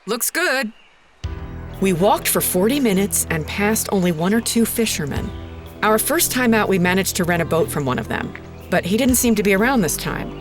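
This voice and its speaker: An actress playing an uneasy female radio presenter, narrating an eerie investigation in a measured, deliberate way. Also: medium pitch, lively intonation, faint music behind, natural voice. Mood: casual, concerned. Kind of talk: scripted first-person narration.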